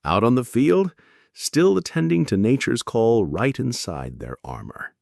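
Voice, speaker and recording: A man narrating scripted history in a measured storytelling way, with dramatic pauses and wry asides. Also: mid-range voice, big pitch swings, gravelly, darkish tone, no room sound, studio quality.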